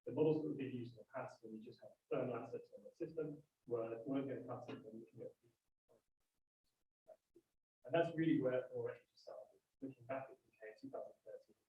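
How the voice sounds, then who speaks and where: A British man speaks briskly as he presents his company at a live event, fluent and businesslike. His voice comes from a distance through heavy room echo, with faint hiss behind it. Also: mid-range voice, muffled recording. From United Kingdom. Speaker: company representative on a panel. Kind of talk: explanatory company introduction.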